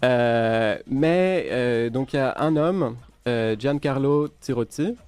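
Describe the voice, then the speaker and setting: A man's voice: mid-range voice, animated, takes it slowly, a little mellow, clean tone, soft background music. A man with a French accent, a DJ guest on a podcast, explaining in a hesitant way with many fillers. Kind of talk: casual interview, explaining music history.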